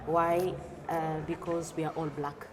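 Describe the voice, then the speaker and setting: A middle-aged woman from the Democratic Republic of the Congo speaks as an interview guest. Her voice sits on the low side, and she moves between languages in a conversational way. Clear background noise runs behind her. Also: flat delivery, unhurried. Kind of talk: conversational interview, code-switching. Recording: clearly noisy background ambience.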